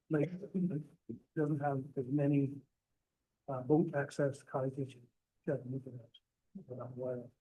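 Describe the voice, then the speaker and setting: A man on a township council speaking haltingly, with frequent hesitations. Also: mid-range voice, husky. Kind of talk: council meeting discussion. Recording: tinny lo-fi meeting audio.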